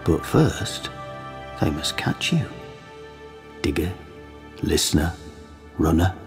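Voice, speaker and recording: A man playing a solemn, commanding, godlike figure of myth in a radio drama, speaking gravely and with measure. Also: rumbling low, sing-song, slow, soft background music, full character voice. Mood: solemn, foreboding.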